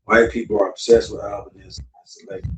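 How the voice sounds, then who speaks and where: A man's voice: on the low side, flat delivery, fast. A man from South Florida hosting a casual talk show, lecturing loosely and swearing freely. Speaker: podcast host. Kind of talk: casual chat. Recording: distant mic with faint noise.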